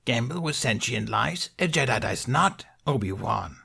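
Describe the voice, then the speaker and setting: A tiny, ancient alien master from a storybook, stern and probing. The voice is raspy and creaky, with a lively rise and fall, and the grave words come in inverted order. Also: male voice, mid-range voice, moderate pace, heavily acted. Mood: stern admonishment. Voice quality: croaky, gravelly, strained. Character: ancient small green alien Jedi master, stern, inquisitive.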